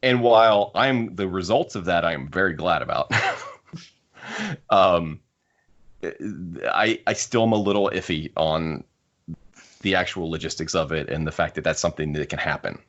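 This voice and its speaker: Deep-voiced man musing on a podcast. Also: normal speed, fairly bright tone, lo-fi sound. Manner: musing, circles back to rephrase points. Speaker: podcast co-host. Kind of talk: casual fan discussion.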